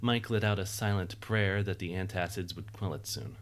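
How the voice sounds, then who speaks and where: A man reading scripted story narration for an audio drama in a measured, wry, literary manner. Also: sits low, steady tone.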